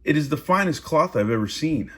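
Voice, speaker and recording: A man's voice: a little high, lively intonation, fairly quick, fairly bright tone, pitched above the performer's own voice. A man voicing an anxious, bluffing prime minister in a storybook, with fretful, over-polite exclamations. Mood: false admiration.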